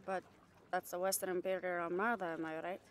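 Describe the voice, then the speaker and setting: A woman's voice: fairly low pitch, flat delivery, a little fast, a little hiss or hum, lightly acted. A woman playing a brash, cheeky catgirl pirate in an audio roleplay, bantering with salty sarcasm. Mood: wry, sarcastic. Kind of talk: roleplay audio drama acting.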